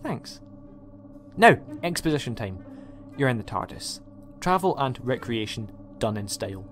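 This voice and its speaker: Casting: a man as eccentric alien adventurer, mid-pitched, breezy and flippant, audio drama over light music. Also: sing-song, a little slow.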